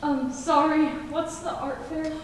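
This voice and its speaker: A shy new student, played by a young woman speaks quietly and hesitantly in a high voice, taking her time. The sound comes from far off in a big hall, with a long echo and steady hiss. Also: measured pace. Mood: timid. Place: stage in a large hall.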